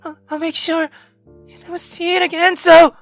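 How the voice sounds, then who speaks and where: Man voicing a high-pitched, pleading young woman. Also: flat delivery, normal speed, a bit bright, soft background music. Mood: frightened, pleading. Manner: stammering, pleading. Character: frightened, pleading young woman confessing to her mother. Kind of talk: dramatic visual novel character reading.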